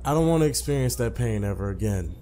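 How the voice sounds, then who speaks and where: A man's voice: mid-range voice, animated, fast talker, mellow. A man casually commentating and reading a visual novel's text aloud as a let's player.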